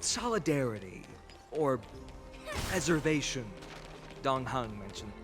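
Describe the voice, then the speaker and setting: Young hero, widely swinging voice, music behind. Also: male voice, medium pitch, very animated, takes it slowly. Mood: musing. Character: carefree-seeming young male hero, earnest and quietly wistful. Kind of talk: video game voice acting, dramatic dialogue. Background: background music.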